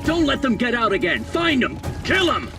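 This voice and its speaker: Brutish bandit boss for a game: furious high-strained shouting, wildly swinging pitch, slightly distant. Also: male voice, sing-song, moderate pace, music in the background, exaggerated voice. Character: angry brutish bandit boss barking orders. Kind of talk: video game voice acting.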